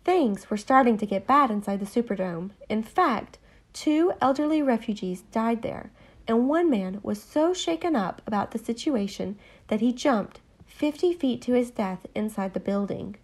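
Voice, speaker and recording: Lively husky woman narrating. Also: on the high side, normal speed. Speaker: podcast co-host. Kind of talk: scripted narration.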